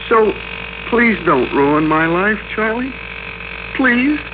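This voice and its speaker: An actor playing a meek middle-aged American office worker turned blustering, bossy master, in emphatic classic radio drama style. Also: male voice, high-pitched, big pitch swings, takes it slowly, full character voice. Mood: pleading. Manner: emphatic, theatrical, commanding. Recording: muffled classic radio recording with hum.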